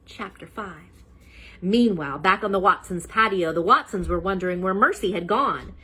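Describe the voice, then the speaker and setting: A woman storyteller reading a children's book aloud in an animated style. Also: medium pitch, moderate pace, breathy edge.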